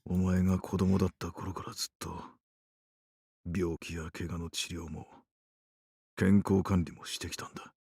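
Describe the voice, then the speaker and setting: A man's voice: low voice, little pitch movement, fast, gravelly, pauses often, dark tone, husky, no echo, studio quality, heavily acted. An actor playing a stern, guarded male doctor in a Japanese visual novel, muttering and restrained. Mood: earnest, restrained.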